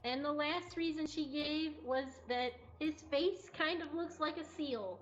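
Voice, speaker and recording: High-pitched giggly woman hosting casually. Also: unhurried. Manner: giggly, often chuckling. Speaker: cat cafe livestream host. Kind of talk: casual live presentation and chat. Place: indoor cat cafe lounge. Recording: slightly dull livestream audio, faint music. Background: faint music.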